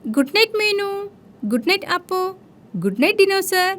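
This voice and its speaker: A woman's voice: very high-pitched, very animated, moderate pace, heavy background noise. A woman voicing a caring, soothing mother bee in a children's cartoon.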